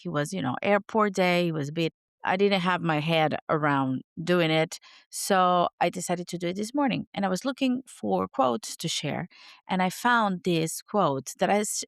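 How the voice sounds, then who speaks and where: A raspy, hoarse woman with a sore throat hosting her podcast in an unscripted, rambling chat, laughing at herself. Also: on the low side, no room sound, clean studio sound. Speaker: podcast host.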